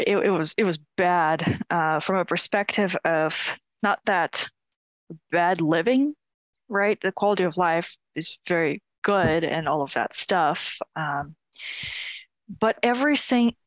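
Open and talkative, a young woman from Ukraine speaks as an interview guest with a slightly low voice. She laughs easily and restarts her sentences as she goes. The recording is close and dry, with no room sound. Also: normal speed, no echo. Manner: candid, laughs often, frequent restarts. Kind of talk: casual interview.

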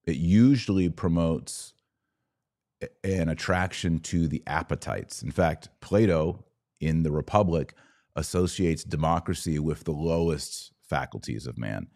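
American guy podcast host, really low mellow voice, opinionated live commentary, talks in short emphatic bits. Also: measured pace, vocal fry. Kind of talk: live political and religious commentary answering viewer comments.

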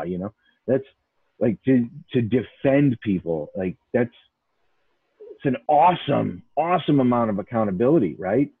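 A man's voice: lowish voice, husky, no echo, phone-quality sound. A man from Chicago's north side hosting a casual podcast chat, emphatic and rambling, full of restarts and "like". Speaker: podcast host.

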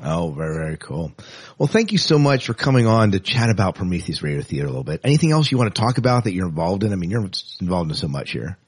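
Podcast host: man, swooping intonation, a bit of rasp, informal and upbeat. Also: deep voice, big pitch swings, quick pace. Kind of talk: casual interview.

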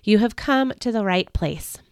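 A woman reading a storybook, voicing a gentle, tired young man who speaks earnestly and softly. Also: mid-range voice, lively intonation, measured pace, clean tone, no room sound, clean studio sound. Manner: earnest, soft-spoken.

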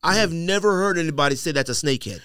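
A man's voice: fairly high pitch, expressive, fast, bright, forceful tone, clear, resonant tone, dry recording, clean studio sound. A man in casual podcast banter, emphatic and argumentative, repeating himself to drive a point home. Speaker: podcast co-host. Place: podcast studio.

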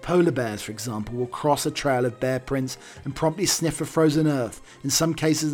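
A man with a croaky voice hosting a podcast, delivering scripted comic monologues and readings in a wry, theatrical manner. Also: on the high side. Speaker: podcast host.

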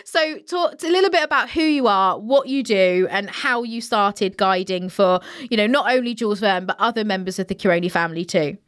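A woman's voice: mid-range voice, fairly quick, hardly any pauses, smooth. A woman hosting a podcast interview, upbeat and enthusiastic. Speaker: podcast host. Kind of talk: casual interview chat. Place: London, remote call.

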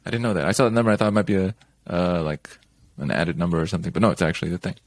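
A male podcast host with a low voice leads an informal interview. He sounds eager and enthusiastic, often breaking in with quick praise and casual remarks. His voice is close and dry, with little room sound. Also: dry recording.

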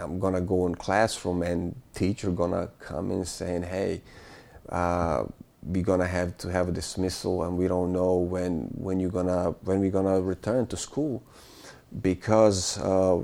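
Bosnian-born male guest, deep and mellow, halting and reflective delivery. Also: gravelly. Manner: halting, many fillers and restarts. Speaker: interview guest. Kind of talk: casual spoken recollection.

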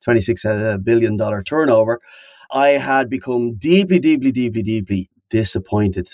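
A man of medium pitch talks as a podcast guest, working through his ideas thoughtfully and piling up words for emphasis. The sound is dry and close, with no room around it. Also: animated, moderate pace, darkish tone, no room sound. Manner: thoughtful, wordy, leans on emphatic repetition. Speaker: podcast guest, company founder. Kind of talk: conversational interview answers.

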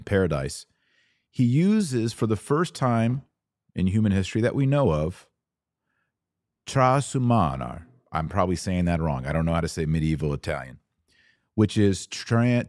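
A man hosting a podcast, giving a religious lecture in an emphatic, didactic manner with dramatic pauses. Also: lowish voice, darkish tone, no echo, studio quality. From Texas. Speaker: podcast host.

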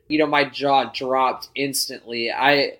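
A man's voice: medium pitch, steady tone, slow, a bit bright. A man chatting on a podcast, rambling through memories with plenty of fillers. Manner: rambling, many fillers and restarts. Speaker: podcast co-host. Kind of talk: casual podcast chat.

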